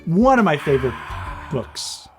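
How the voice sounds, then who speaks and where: Highly expressive man, storytime delivery over music. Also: measured pace. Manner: theatrical, expressive, with gasps and whispered words. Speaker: comedian co-host of a children's read-aloud show. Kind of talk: comedic storybook read-aloud with banter.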